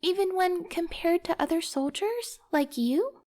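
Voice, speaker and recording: Very high, sweet young woman. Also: animated, measured pace, darkish tone. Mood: teasing, curious. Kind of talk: video game voice acting.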